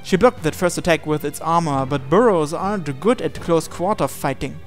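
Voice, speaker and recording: A man's voice: fairly high pitch, normal speed. A man reading visual novel narration aloud in a dramatic storytelling style. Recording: game music playing behind. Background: video game music.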